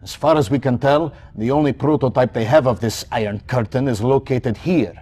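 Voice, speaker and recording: An actor playing a grim male general giving a measured military briefing in a game cutscene. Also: mid-range voice, warm tone, husky, natural voice. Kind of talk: video game cutscene acting.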